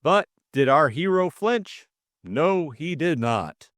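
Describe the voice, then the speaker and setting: A jaunty young English gentleman sleuth as played by a male narrator, glib and teasing. His pitch swings freely through unhurried, drawling lines, recorded close and dry. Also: very animated, dry recording, heavily acted. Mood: flippant. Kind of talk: audiobook character acting.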